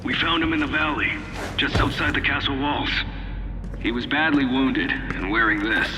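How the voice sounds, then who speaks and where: An actor playing an urgent sentry soldier reporting in a video game. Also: male voice, mid-range voice, normal speed, natural voice. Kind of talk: video game cutscene acting. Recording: long reverb with battle-like noise.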